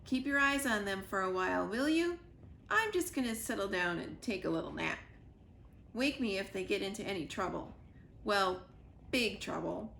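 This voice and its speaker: A woman's voice: medium pitch, animated, moderate pace, creaky, fairly bright tone, echoey room, slight background noise. Woman reading as a dry, weary retired dog. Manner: dry, laid-back first-person narration.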